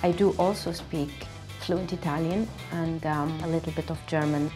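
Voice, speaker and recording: A woman's voice: sits low, steady tone, moderate pace, over music. A woman from Tunisia whose first language is Arabic, talking steadily and matter-of-factly.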